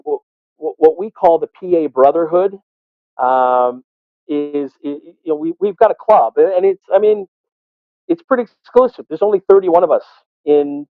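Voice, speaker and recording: A man telling stories conversationally as an interview guest, often halting and repeating words. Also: a little high. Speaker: interview guest, sports arena PA announcer. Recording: roomy, thin phone-like call audio.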